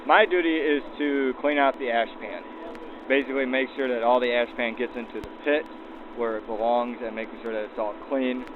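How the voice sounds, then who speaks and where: A man's voice: mid-range voice, fairly even intonation, a little fast. A man on a train crew explaining his work unscripted in a matter-of-fact way, through an old radio filter. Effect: backdated 1940s radio filter. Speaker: train crew newcomer, interviewee. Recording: tinny radio sound, loud vehicle noise.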